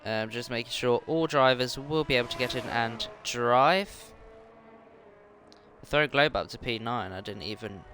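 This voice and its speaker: A man's voice: mid-range voice, unhurried, sharp tone, a little hiss or hum. A man giving play-by-play commentary on an online racing league, his voice worn and tired from streaming, with frequent ums. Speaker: race commentator.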